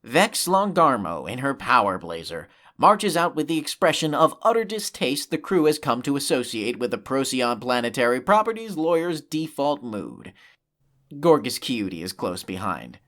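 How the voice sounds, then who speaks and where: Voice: mid-range voice, big pitch swings, moderate pace, fairly bright tone. A narrator delivering dramatic scripted narration in a vivid, theatrical manner for an audio drama. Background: music.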